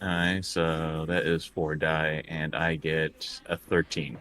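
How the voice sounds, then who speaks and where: A man's voice: a bass voice, flat delivery, slow pace, fairly bright tone, clear, resonant tone. A man chatting casually as a player in a tabletop role-playing game. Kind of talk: casual chat during tabletop game play.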